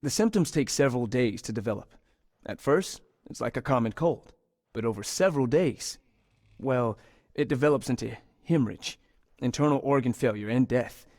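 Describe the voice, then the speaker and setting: A man's voice: mid-range voice, lively intonation, normal speed, darkish tone, no room sound, studio quality. An actor playing an earnest university scientist in a video game, polite and a little hesitant as he explains things. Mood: grave. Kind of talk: video game dialogue acting.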